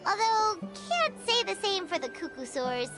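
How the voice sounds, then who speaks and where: A woman voicing a small, excitable fairy companion with a squeaky childlike voice in an anime game. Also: normal speed, music in the background. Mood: cheerful. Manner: exclaiming, refers to self by name. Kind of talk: anime video game voice acting.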